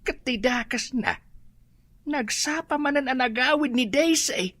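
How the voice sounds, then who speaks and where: A woman's voice: high voice, measured pace, a bit bright, dry recording, voice pushed up. A woman voicing a fussy, gossiping male office worker in an Ilocano radio comedy.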